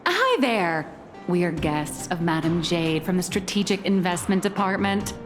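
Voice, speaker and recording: A woman's voice: lowish voice, expressive, a little slow. A woman voicing a dry, wry young comic artist in a video game, matter-of-fact in manner. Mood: polite, casual. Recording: long hall reverb, music behind.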